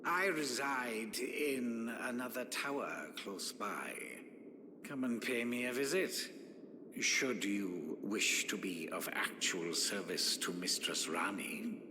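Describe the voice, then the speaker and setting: Snide sorcerer: haughty, sneering, fairly low game voice in long, noisy reverb. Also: male voice, takes it slowly. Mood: disdainful. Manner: sneering, condescending, pompous. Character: haughty, spiteful, scheming sorcerer. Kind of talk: video game character dialogue. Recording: echoing hall reverb, noisy game capture.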